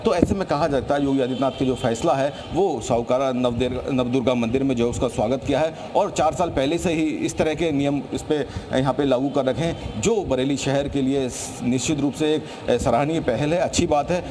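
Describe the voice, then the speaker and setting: A man's voice: mid-range voice, a little fast, few pauses, darkish tone, slight background noise. A man reporting from the field for the news and interviewing locals.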